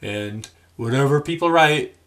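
An older man, around his sixties, talking casually to the camera in a deliberate, halting, dry manner. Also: mid-range voice, expressive, a bit bright. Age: older, about 60s. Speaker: memoir author addressing viewers. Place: small room at home, indoors.

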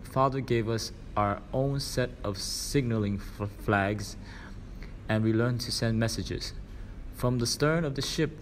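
A man's voice: on the low side, a little hiss or hum, low-quality recording. A man reading a children's storybook aloud as scripted narration. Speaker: reader.

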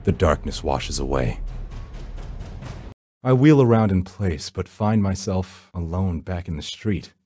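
A man's voice: deep voice, lively intonation, unhurried, warm tone, husky, no echo, faint music behind. A man reading first-person horror narration for a visual novel in a brooding, theatrical storytelling manner.

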